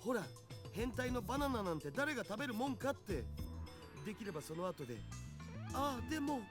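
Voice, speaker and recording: An actor playing an excitable, lecherous male nerd in a visual novel, with a leering, excited manner. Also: very high-pitched, fairly quick. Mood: excited pleading. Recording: game audio with music.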